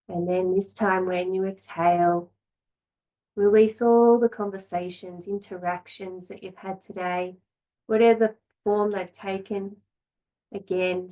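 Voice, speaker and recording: Slow, gentle woman guiding yoga relaxation. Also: medium pitch, low-quality recording. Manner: calm, gentle, unhurried, with long pauses between short phrases. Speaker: yoga teacher. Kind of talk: guided relaxation and yoga class instruction.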